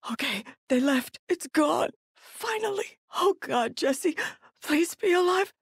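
A woman playing a frightened, hurt mother who searches desperately for her lost son, muttering haltingly to herself as in a survival horror game. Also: very high-pitched, lively intonation, gravelly, no room sound, clean studio sound, exaggerated voice. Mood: relieved, pleading.

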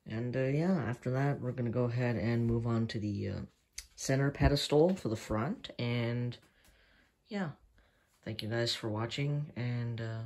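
A man casually showing a homemade build on a handheld phone camera in a room at home, talking in a hesitant, soft-spoken way. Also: mid-range voice, unhurried. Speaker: hobby channel host. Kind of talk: casual show-and-tell vlog.

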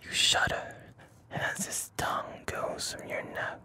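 A man narrating a scripted ASMR story in a breathy whisper, with deliberate delivery. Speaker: voice actor narrator.

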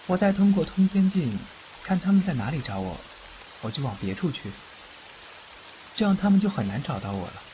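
A man acting a fugitive underworld official and doting, cocky godfather in a fan audio drama, expressive and protective. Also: a little high, a little fast. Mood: animated, explaining. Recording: muffled, faint background noise, rain-like ambience.

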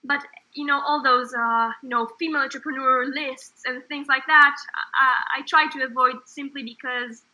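A woman from Israel, a startup founder, answering interview questions in a high voice, pausing often with fillers and restarts. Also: fairly even intonation, pushed and bright, low-quality recording. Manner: hesitant, many fillers and restarts.

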